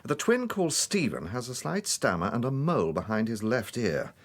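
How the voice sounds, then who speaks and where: An actor with an English accent playing a courteous, methodical gentleman police detective in a British radio drama, polite and measured as he probes. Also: mid-range voice, very animated. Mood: wry.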